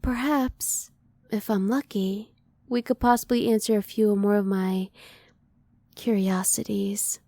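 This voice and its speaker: A reserved female alien scientist, acted by a woman for an ASMR roleplay, close and very dry. The voice is mellow and soft, with careful, formal phrasing and a cool, curious restraint. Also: medium pitch, steady tone, unhurried, no room sound. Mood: softly hopeful. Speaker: voice actor.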